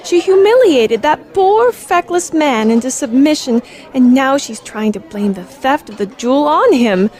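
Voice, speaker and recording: A woman acts an earnest, inquisitive teenage girl in an old-fashioned radio play. Her voice sits fairly high. She speaks formally and articulately, as a proper young storyteller and companion to a detective. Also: expressive, measured pace, few pauses, a little mellow. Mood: puzzled, suspicious. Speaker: narrator and sidekick.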